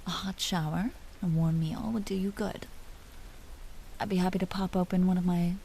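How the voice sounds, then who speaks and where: A woman playing an aristocratic vampire duchess in a roleplay audio drama, commanding and teasing yet secretly tender, often hushed and confiding. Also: lowish voice, steady tone, moderate pace, a little hiss or hum, lightly acted, poor audio. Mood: playfully commanding.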